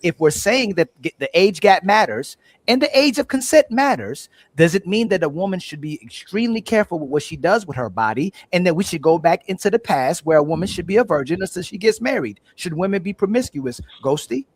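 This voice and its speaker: A man hosting a heated panel debate, excitable and interrupting. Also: high voice, fairly quick, few pauses, dry recording. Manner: excitable, interrupting, says "hold on" repeatedly. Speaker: panel host.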